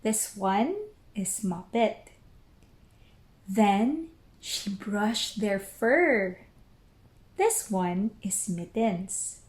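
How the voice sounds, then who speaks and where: A woman storyteller reading a children's book aloud expressively and deliberately, with careful pauses. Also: medium pitch, animated, darkish tone. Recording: roomy echo.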